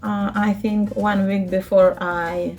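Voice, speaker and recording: A woman in her thirties from Ukraine, a native speaker of Russian and Ukrainian, talking in a casual interview with a Ukrainian accent, halting and searching for words, laughing often. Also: medium pitch, level tone, darkish tone, faint music behind. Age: 30s. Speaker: interview guest, children's book translator.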